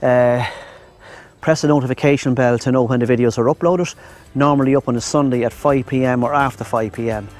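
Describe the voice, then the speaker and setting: Irish male travel vlogger, rambling and chatty, outdoor lav mic, mid-pitched voice. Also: fairly even intonation, fairly quick, a little mellow. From Ireland. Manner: rambling, chatty, addresses viewers as "my friends". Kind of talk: casual travel vlog to camera. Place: outdoors in Thai countryside. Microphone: lavalier mic.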